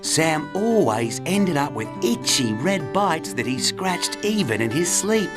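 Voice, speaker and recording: A woman's voice: low-pitched, big pitch swings, a little slow. A woman narrating a scripted children's story as a storyteller. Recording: guitar music behind narration.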